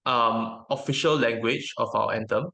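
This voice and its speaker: A man whose native language is Mandarin, giving casual interview answers as a guest in a reflective, hesitant way with frequent pauses. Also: medium pitch, creaky, bright, forceful tone, rough recording. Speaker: interview guest, tabletop game educator.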